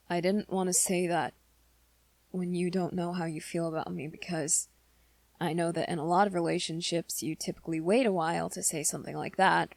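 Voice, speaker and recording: A woman playing an earnest, lovesick young male werewolf in an intimate ASMR roleplay, confiding softly and haltingly in a friend. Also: lowish voice, vocal fry, dry recording. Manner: hesitant, halting, soft-spoken confession.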